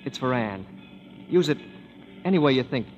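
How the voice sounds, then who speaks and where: An actor playing a kind, generous gentleman musician, easygoing and gentlemanly, in 1930s radio drama style. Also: medium pitch, lively intonation, normal speed, lightly acted. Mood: kindly, reassuring. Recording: muffled 1930s radio broadcast.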